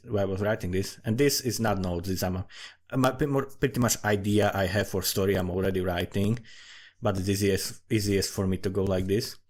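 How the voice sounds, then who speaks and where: A man giving a rambling, informal commentary on a fantasy world he is writing, swearing freely and often restarting his phrases. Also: fairly low pitch. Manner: profane, informal, thinking aloud. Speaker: amateur fantasy writer.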